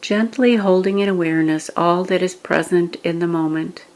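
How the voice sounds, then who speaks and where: A woman leading a guided meditation, giving scripted instructions in a gentle, measured manner with pauses. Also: on the low side.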